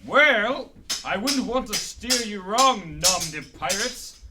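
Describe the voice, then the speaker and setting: An actor playing a witty, defiant superhero who banters with cool theatricality in a radio drama. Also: male voice, high voice, animated, loud music behind the voice. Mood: teasing. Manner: bantering, deliberate delivery. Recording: noisy, slightly distant microphone.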